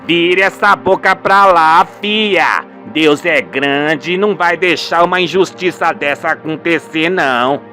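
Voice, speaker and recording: Radio soap role: vengeful old rustic dad played by a male narrator, folksy, indignant, unhurried, light music bed. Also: a little high, expressive, bright, forceful tone. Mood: scornful, determined. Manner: folksy, emphatic rustic speech. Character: older rural father, indignant, vengeful, colloquial.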